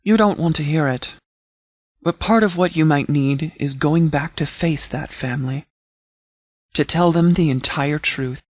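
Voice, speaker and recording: A man playing a stern, protective post-apocalyptic sheriff in a video game, speaking in a formal, deliberate, fatherly way. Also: a little high, no room sound. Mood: tender, serious. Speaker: video game mod character actor. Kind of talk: scripted game dialogue.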